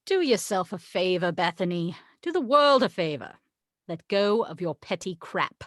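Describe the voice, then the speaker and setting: Casting: haughty angel played by a woman, lower-pitched, very animated, condescending and wry, table-read style. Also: moderate pace, dry recording, clean studio sound. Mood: grave, insistent. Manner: exasperated, condescending, wry storytelling. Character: haughty, sarcastic tequila-loving angel, the voice of God. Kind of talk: table read of a film script.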